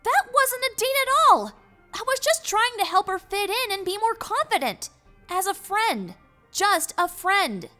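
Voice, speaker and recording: A young female pony princess from a cartoon, voiced by a woman, earnest and easily exasperated. The voice is high and forceful, defensive and emphatic, over light music. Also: very animated, measured pace, full character voice. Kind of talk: fan fiction audio drama acting.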